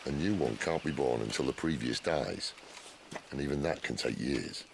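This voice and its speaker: An actor playing a wry, world-weary male rebel leader in a video game, speaking in a dry, teasing, unhurried way. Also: rumbling low, animated, creaky, slight background noise, full character voice.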